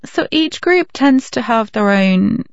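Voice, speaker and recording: A young woman from Romania, an academic author, explaining history clearly and knowledgeably in a radio interview. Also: fairly high pitch, expressive, takes it slowly, dry recording, lo-fi sound. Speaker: author and researcher, guest.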